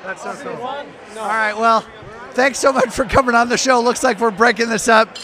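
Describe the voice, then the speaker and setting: High, bright-voiced man hosting in a bar. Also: fairly even intonation, normal speed, some chatter behind. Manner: enthusiastic, asks rambling stacked questions. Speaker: podcast host. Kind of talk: casual bar interview. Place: busy hotel bar at night. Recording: noisy crowded bar.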